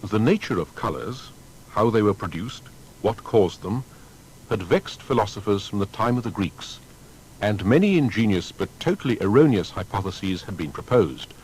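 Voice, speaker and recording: A man's voice: expressive, normal speed, a little mellow, poor audio. A man reading scripted documentary narration in an articulate way with emphatic phrasing. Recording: faint noise and low rumble.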